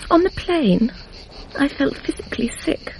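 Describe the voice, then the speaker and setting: A woman's voice: high-pitched, sing-song, unhurried, darkish tone, full character voice, poor audio. An actress playing an emotional, confessional British woman in love, with a breathless, intense manner in a radio drama. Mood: anguished, confessional. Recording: faint noise, insects chirping, archive radio drama.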